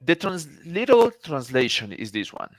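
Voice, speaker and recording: A man's voice: a little high, very animated, normal speed, no room sound. A man from Colombia, a native Spanish speaker with a Colombian Spanish accent, gives an earnest, formal conference lecture as a psychiatrist, often restarting his phrases.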